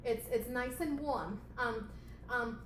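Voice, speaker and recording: A woman voicing a timid, eager-to-please female assistant in a puppet show, soft and stammering. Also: on the high side, takes it slowly. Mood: flustered, apologetic. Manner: soft, stammering, flustered, deferential. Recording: roomy echo, background noise.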